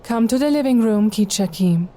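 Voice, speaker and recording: An actress playing a gentle mother with a soft, hesitant way of talking to her child in an audio drama. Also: mid-range voice, expressive, moderate pace, close to an everyday voice.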